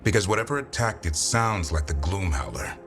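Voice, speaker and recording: An actor playing a gruff, protective warrior bodyguard with a wry, blunt manner in a fantasy video game. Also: male voice, deep voice, animated, brisk, fairly bright tone, faint music behind. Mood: grim, businesslike. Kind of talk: dramatic video game dialogue.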